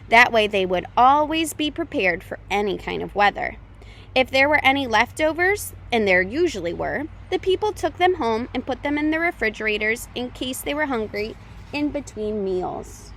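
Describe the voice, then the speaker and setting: A woman reading a children's picture book aloud as a storytime reader, with expressive, deliberate phrasing for young listeners. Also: high voice, a little hiss or hum.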